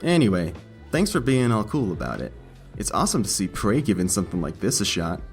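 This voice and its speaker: Audiobook role: short, laid-back badger cashier male actor, half-asleep stoner drawl, fairly low pitch. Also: very animated, a little fast, faint music behind. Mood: mellow, friendly. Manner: lazy, casual stoner drawl. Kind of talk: audiobook character acting.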